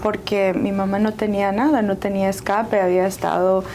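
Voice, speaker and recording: A woman's voice: mid-range voice, little pitch movement, fairly quick, dark tone, a little hiss or hum. A woman from El Salvador, a writer and life coach, telling her life story earnestly as an interview guest. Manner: earnest, reflective, occasional laugh.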